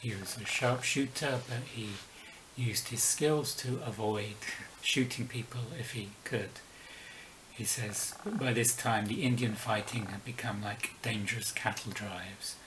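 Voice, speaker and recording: A middle-aged man with a weak London accent, talking through a book review in a measured, halting way. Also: fairly low pitch, noisy background. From England. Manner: measured, halting with pauses and fillers. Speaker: book vlogger. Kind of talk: casual book review talk.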